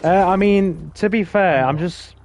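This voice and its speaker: A man's voice: high voice, measured pace, darkish tone. A man hosting a casual gaming stream, chatting with a friend in a rambling way with fillers. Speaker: streamer host.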